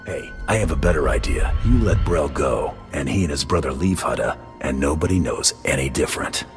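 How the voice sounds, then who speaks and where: An actor playing a cool, confident, terse hired gun in a video game cutscene. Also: male voice, a bass voice, expressive, a little fast, soft background music. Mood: cool, cocky. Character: cocky, cool male hired gun hero.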